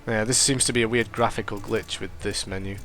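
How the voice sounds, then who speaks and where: Sniffly young gaming commentator. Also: male voice, on the low side, brisk, faint music behind. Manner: rambling, self-correcting, excitable reactions. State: congested, sniffly. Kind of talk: casual gameplay commentary.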